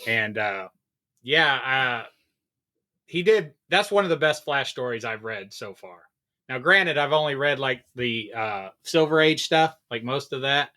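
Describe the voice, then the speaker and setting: A man's voice: medium pitch, lively intonation, a little slow, sharp tone. A man co-hosting a podcast, talking in a rambling, enthusiastic way and swearing casually. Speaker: podcast co-host.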